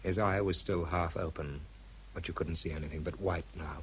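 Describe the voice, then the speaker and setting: An actor playing a dry, sober English scientist who tells his wartime story in a measured, understated way. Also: deep voice, steady tone, slight background noise, lightly acted. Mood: sober narration. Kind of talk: radio drama narration and dialogue.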